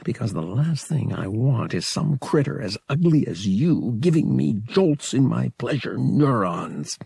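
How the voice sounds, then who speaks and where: A man's voice: mid-range voice, big pitch swings, unhurried, vocal fry, mellow, slightly rough, exaggerated voice. A man voicing a cynical, wary young male space pilot in an audiobook, with a wry, sardonic delivery. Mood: guarded, disgusted. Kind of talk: audiobook character dialogue.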